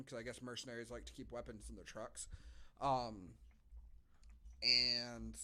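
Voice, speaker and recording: A man's voice: mid-range voice, sharp tone. A man co-hosting a podcast, casually reviewing a film with sarcastic asides and frequent swearing. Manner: sarcastic, profane. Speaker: podcast co-host.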